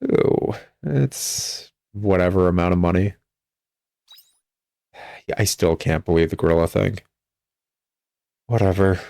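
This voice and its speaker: A man's voice: low voice, lively intonation, slow, gravelly, long pauses, a little mellow, no room sound, clean studio sound. A man giving casual gameplay commentary in a sarcastic, exasperated, griping manner. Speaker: gamer critiquing a video game. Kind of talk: casual gameplay commentary, reading dialogue aloud. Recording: music behind the voice. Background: video game music.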